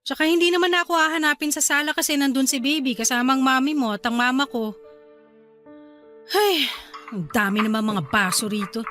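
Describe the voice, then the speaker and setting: A high, girlish-pitched young Filipino wife in a radio drama. She is resentful and sulky, swinging between terse replies and wounded pleading, with faint music underneath. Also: a little fast, sharp tone. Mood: curt, withholding.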